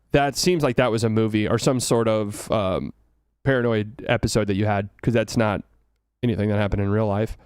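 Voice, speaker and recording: A man's voice: medium pitch, darkish tone, clear, resonant tone, dry recording, clean studio sound. A man from Texas with a light Texan accent, hosting a casual solo sports talk show, rambling, joking and thinking aloud. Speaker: podcast host.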